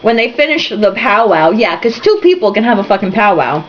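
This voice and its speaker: A woman's voice: medium pitch, sing-song, a little fast, highs cut off, slight background noise. A woman reading a novel aloud for comedy, irreverent and breaking off for snarky, sweary asides. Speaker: amateur book reader and host.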